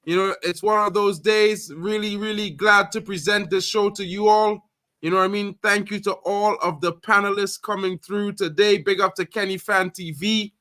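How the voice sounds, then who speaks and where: A man from Trinidad hosts a casual live football stream, talking loudly and excitedly with repeated catchphrases. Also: high-pitched, normal speed, dry recording. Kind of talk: casual live sports chat.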